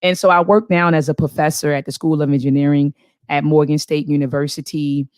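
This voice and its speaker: A woman in her forties from Baltimore, a professor, telling her story as an interview guest in earnest, lively speech with many fillers. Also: low voice, fairly quick, dry recording, studio quality. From Baltimore, USA. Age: 40s.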